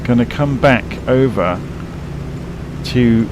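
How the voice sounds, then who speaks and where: A man streaming a flight simulator, explaining cockpit instruments in a rambling, self-correcting way, his voice croaky and tired from a late night. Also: medium pitch, slow, noisy background. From England. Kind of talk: casual live-stream commentary.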